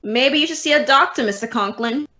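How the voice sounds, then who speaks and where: A woman's voice: high-pitched, a little fast, bright, forceful tone. A woman playing a wry, practical English teacher in an old-time radio comedy, with dry delivery. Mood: helpful. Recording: dull lo-fi radio drama audio.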